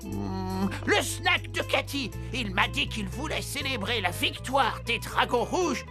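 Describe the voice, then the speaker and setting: A woman's voice: on the high side, lively intonation, takes it slowly, over music. A woman voicing a boastful, gloating neighbor who is a sports fan, in a French cartoon dub. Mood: recalling. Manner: gloating, sing-song, crowing.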